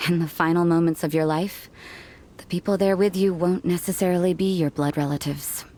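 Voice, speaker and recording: A woman's voice: on the low side, steady tone, a little fast, dark tone, lightly acted. A woman playing a cool, composed, wry fighter with a teasing manner in a video game. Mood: reflective, wry.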